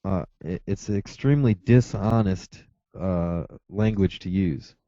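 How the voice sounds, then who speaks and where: A man's voice: deep voice, a little slow, warm tone, no echo, muffled recording. Stammering insistent man in debate. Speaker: atheist caller. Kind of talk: heated debate.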